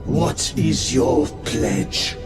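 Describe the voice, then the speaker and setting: A man playing a solemn, commanding elder soldier in a video game, speaking in a grave, ceremonial manner. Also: drawn-out words. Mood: solemn, challenging. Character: solemn, commanding male elder Space Marine officiating a rite. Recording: loud cinematic music behind.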